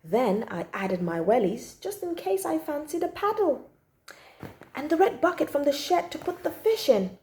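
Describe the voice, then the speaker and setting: Animated high-voiced woman reading a storybook. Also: normal speed, slight background noise. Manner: animated, emphatic, acts out the child narrator's feelings. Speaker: storytime host reading a children's book aloud. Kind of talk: expressive children's storybook narration. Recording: echoey room.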